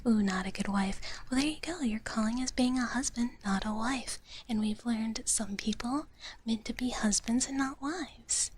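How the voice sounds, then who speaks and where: A woman speaking in a soft, breathy whisper close to the microphone, chatting casually and playfully as a livestream host and often repeating her phrases. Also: on the high side, normal speed. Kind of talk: live ASMR whispering, casual chat with viewers. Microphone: close ASMR microphone.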